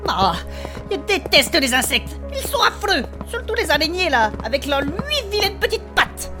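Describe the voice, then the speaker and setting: A woman's voice: high voice, sing-song, normal speed, music in the background. A woman playing a whiny, cowardly young male adventurer who bickers in slang in a comedic audio drama. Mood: squeamish. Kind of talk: comedic audio fiction acting.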